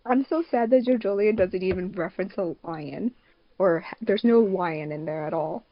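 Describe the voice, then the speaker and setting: A woman's voice: mid-range voice, moderate pace, no room sound. A woman chatting casually as a panelist on a group podcast. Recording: slightly dull lo-fi voice-chat audio.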